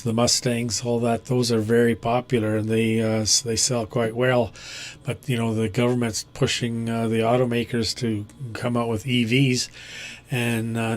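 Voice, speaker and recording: Man, medium pitch, flat intonation, halting and blunt, casual amateur radio chat. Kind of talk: casual opinionated chat over ham radio.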